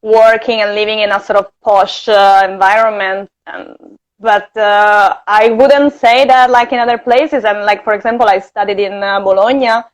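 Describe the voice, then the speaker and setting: A woman's voice: mid-range voice, steady tone, normal speed. A young Italian woman with a light Italian accent, chatting casually as a guest, full of fillers and laughter. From Italy. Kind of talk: casual chat.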